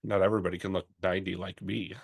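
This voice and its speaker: A man's voice: deep voice, flat delivery, racing, gravelly, slightly rough. A man of about fifty co-hosting a podcast, easygoing, chiming in with short agreeing remarks. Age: 50s. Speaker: podcast co-host. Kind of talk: casual podcast chat.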